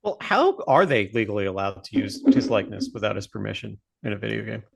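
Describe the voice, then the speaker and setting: A man's voice: lowish voice, big pitch swings, fast, gravelly, slightly rough, no echo. A man co-hosting a podcast, chatting casually with friends. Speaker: podcast co-host. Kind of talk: casual chat.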